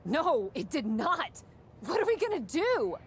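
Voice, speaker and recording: Indignant young lady wrestler in a game, super high, swingy pitch. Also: sing-song, unhurried, a little hiss or hum. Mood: dismayed, indignant. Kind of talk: video game cutscene dialogue.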